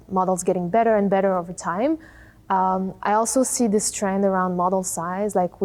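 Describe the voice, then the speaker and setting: Female interview guest, slightly low register, scientist-founder giving explanatory answers. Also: a little mellow.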